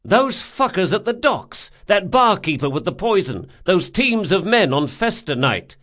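Male narrator as cocky male thief, audiobook fantasy, sardonic, forceful, threatening, lively intonation. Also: sits high, unhurried, pitched above the performer's own voice, exaggerated voice. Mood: angry, threatening.

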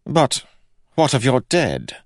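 A man's voice: mid-range voice, wildly swooping pitch, slow, no echo, clean studio sound, pitched above the performer's own voice, full character voice. A man voicing a pompous, pious king in an audiobook, questioning in a scornful, imperious way. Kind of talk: audiobook character acting.

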